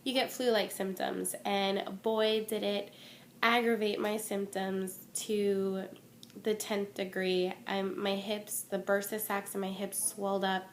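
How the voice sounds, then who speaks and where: A young woman talks to her camera at home in a relaxed, candid way, full of small fillers and restarts. Her mid-range voice sounds a little stuffy and worn from a cold. A faint hum sits behind her. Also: mid-range voice, takes it slowly. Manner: chatty and candid, many ums and restarts. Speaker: vlogger. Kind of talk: casual talking-to-camera update. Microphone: phone or webcam.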